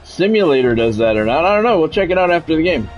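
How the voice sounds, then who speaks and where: A man's voice: fairly high pitch, sing-song, fast talker, muffled recording. Guy streaming a game, casual commentary, talking to chat, kinda goes over the same point again, faint music behind. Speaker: game livestreamer. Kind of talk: casual gameplay commentary, chatting with viewers.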